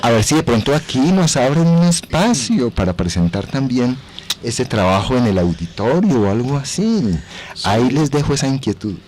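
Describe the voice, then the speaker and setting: A man with a Colombian accent speaking as a radio guest, explaining deliberately and emphatically with rhetorical questions. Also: mid-range voice, animated, a little mellow, a little hiss or hum. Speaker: guest, radio and theatre actor. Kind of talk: radio interview, animated explaining.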